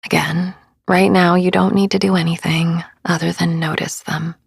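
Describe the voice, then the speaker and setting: A woman speaking in a soothing, hushed, often near-whispered voice as she guides a sleep meditation, breathy and husky with vocal fry, pausing gently between phrases. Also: fairly low pitch, flat delivery, no echo, studio quality. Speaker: podcast host, meditation guide. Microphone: close studio microphone, dry.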